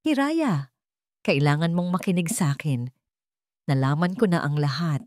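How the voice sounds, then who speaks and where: A woman's voice: low voice, lively intonation, normal speed, a little mellow, no echo, studio quality. A woman voicing an intense, commanding billionaire man in an audio love story, speaking emphatically. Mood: remorseful, pleading. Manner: emphatic, accusing, insistent. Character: stern, commanding billionaire man, remorseful and pleading later.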